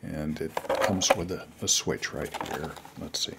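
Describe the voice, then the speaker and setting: An older man giving casual, unscripted how-to advice to beginners as a hobbyist, in a halting, rambling way with frequent pauses. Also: rumbling low, fairly even intonation, vocal fry, heavy background noise.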